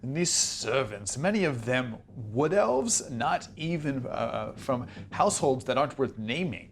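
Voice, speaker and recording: A man's voice: mid-range voice, sing-song, unhurried, a little hiss or hum. A man playing a young elven nobleman in a fantasy tabletop game, a dutiful and calculating grandson with formal, polite phrasing. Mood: measured, probing.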